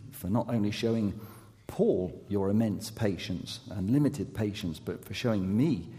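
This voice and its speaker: A man preaching a sermon as an associate youth pastor, his voice going hoarse, speaking haltingly with many fillers and restarts and gentle self-deprecating humor. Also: fairly low pitch. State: losing his voice. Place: church sanctuary. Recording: long hall reverb.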